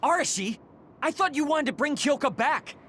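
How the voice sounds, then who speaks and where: A man's voice: extremely high pitch, animated, moderate pace, a little hiss or hum. A man playing a prickly, impatient young soldier in a video game, blunt and irritable. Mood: insistent. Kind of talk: English video game voice acting. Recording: loud game music behind.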